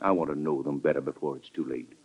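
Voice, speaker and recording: A man's voice: extremely low pitch, steady tone, fast. An actor playing a principled, hot-tempered American statesman of fifty in an old-time radio drama, formal and emphatic, deferential yet stubborn. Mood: weary, resigned. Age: 50s. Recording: muffled vintage radio drama recording.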